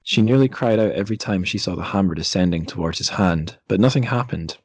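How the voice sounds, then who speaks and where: Low-voiced man hosting a podcast. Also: quick pace, husky, dry recording. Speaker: podcast host. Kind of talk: casual literary podcast discussion with read-aloud book passages.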